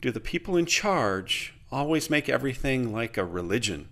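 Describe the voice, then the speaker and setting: A man voicing a hesitant, thoughtful young man who pauses to gather his thoughts. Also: mid-range voice, very animated, a bit bright.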